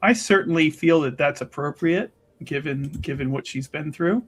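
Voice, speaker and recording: A man playing a tabletop roleplaying game, narrating his character in halting, deliberate, solemn phrases. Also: on the high side, husky.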